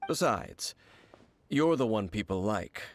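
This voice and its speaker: A man voicing a stern, aloof, world-weary warrior in a fantasy video game, speaking in a measured, guarded, solemn way. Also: lowish voice, expressive, slight background noise. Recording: game music behind the voice.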